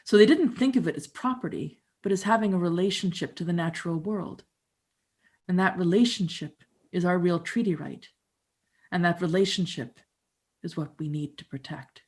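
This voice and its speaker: A woman in her fifties from Canada, performing a conversational first-person monologue with dramatic pauses. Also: lowish voice, a little mellow, slightly rough. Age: 50s. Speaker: storyteller and musician.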